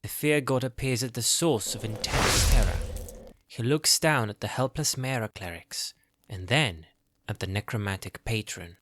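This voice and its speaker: A man's voice: mid-range voice, moderate pace, a bit bright. A man giving scripted narration as the storyteller of a fantasy audio drama.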